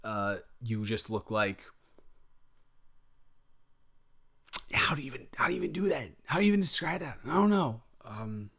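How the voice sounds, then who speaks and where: Casting: male actor for a sweet, easily flustered young boyfriend in intimate audio roleplay, mid-pitched, halting and stammering, eager and smitten. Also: big pitch swings, creaky, fairly bright tone, slightly rough, exaggerated voice. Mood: awestruck, smitten. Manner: stammering, halting, trailing off and correcting himself.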